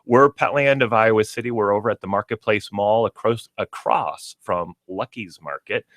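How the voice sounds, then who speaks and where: A man's voice: on the low side, normal speed, dry recording, clean studio sound. A man appearing as a guest on a local radio show, chatting casually about his pet store in an upbeat way. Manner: upbeat and chatty, with bursts of excitement.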